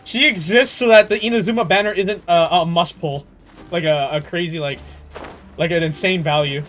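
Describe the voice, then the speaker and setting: A young man chatting casually on a game livestream in an animated, slangy way, swearing freely. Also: fairly high pitch, normal speed, soft background music. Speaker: game streamer.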